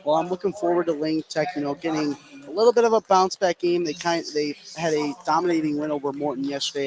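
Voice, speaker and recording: A man's voice: a little high, low-quality recording. A man giving conversational color commentary and analysis during a live basketball broadcast. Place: indoor basketball gym, courtside broadcast. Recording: gym crowd behind the voice. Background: crowd noise.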